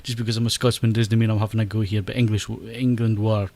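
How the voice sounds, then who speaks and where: A man's voice: on the low side, flat delivery, fast, a little mellow. Scottish man hosting a casual podcast. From Scotland. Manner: chatty, laughs often, stammers on restarts. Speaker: podcast host.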